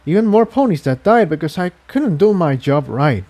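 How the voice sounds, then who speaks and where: A man's voice: a little high, sing-song, normal speed, dark tone, pitched above the performer's own voice. A man voicing a solemn, earnest male unicorn pony in a storybook. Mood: guilt-ridden.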